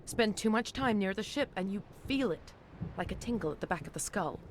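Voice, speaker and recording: An actress playing a frightened alien scientist in a video game, nervous and eager to explain herself. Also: female voice, mid-range voice, lively intonation, a little fast, a little hiss or hum, natural voice. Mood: uneasy, explaining. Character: frightened alien woman scientist, anxious to escape.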